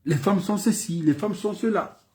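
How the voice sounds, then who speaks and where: A man's voice: sits high, normal speed, darkish tone. A man from Gabon with a Gabonese French accent, giving an impassioned political speech full of repeated phrases and rhetorical questions. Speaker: political commentator.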